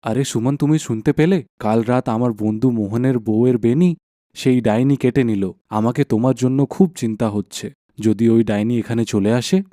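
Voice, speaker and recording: A man playing an anxious, caring village husband in a dramatised animated horror folk tale, with earnest delivery. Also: medium pitch, moderate pace, dry recording, clean studio sound, natural voice. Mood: concerned.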